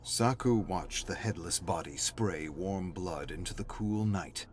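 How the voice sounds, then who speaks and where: A man's voice: sits low, unhurried, soft background music. A man reading a story as a narrator, in a dramatic scripted style.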